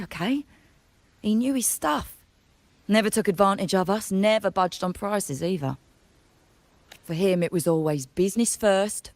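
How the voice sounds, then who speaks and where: A woman's voice: mid-range voice, darkish tone. An actress playing a brusque, plain-spoken, wary but loyal woman trader in a video game, talking in a blunt, grumbling, informal way.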